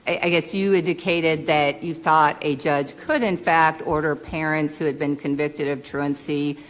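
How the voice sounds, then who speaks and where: Low-voiced woman questioning in an echoing hall. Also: steady tone, moderate pace. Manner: precise, questioning, legalistic. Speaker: hearing participant discussing law. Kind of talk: formal hearing discussion. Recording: long reverb of a large hall.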